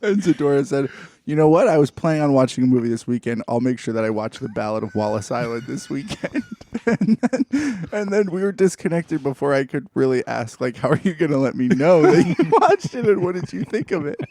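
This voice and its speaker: A man's voice: fairly high pitch, big pitch swings, brisk, darkish tone. A man, middle-aged, chatting casually and telling anecdotes with a wry, mock-formal turn of phrase, as a podcast co-host.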